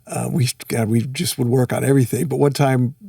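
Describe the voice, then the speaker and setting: Gravelly man, a little off the mic. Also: medium pitch, steady tone, brisk, warm tone, dry recording, clean studio sound, recorded from a distance. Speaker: podcast host. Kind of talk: casual conversational interview.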